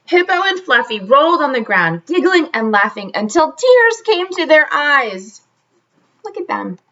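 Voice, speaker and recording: High-pitched, very animated woman reading to children. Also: unhurried, highs cut off. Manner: expressive, talks directly to young listeners. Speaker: teacher reading a picture book aloud. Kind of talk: animated children's story read-aloud with chatty asides.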